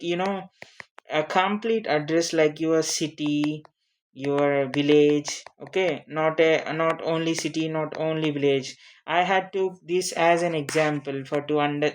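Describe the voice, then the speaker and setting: A non-native English speaker teaching an informal lesson on introductions, speaking haltingly, repeating phrases and often checking in with a questioning tag. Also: medium pitch, a little hiss or hum. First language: not English. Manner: halting, repetitive, frequently checking with "okay?". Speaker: online teacher of interview English. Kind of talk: informal spoken lesson with examples.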